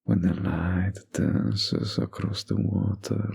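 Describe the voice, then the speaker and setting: Man playing a slow, husky flirty mafia boss. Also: sits low, very animated, darkish tone, heavily acted. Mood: flirty, coy.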